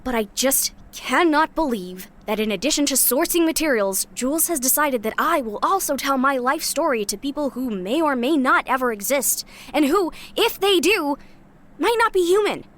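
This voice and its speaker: A jaded scrap scavenger, played by a woman in an audio drama, with a fairly high voice. She is guarded and weary, talking in a sarcastic, informal, sweary way as if confiding in a recorder. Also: lively intonation, normal speed, fairly bright tone. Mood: exasperated, sarcastic. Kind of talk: audio drama monologue, recorded-diary delivery.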